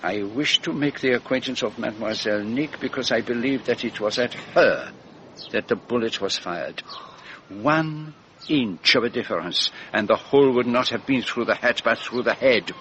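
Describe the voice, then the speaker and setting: An actor playing a shrewd, courteous and pompous little Belgian detective in a radio drama, with a French-speaking Belgian accent and precise, theatrical phrasing. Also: male voice, mid-range voice, moderate pace, fairly bright tone, slight background noise, natural voice, low-quality recording. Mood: grave. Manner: precise, formal, theatrical, teasing.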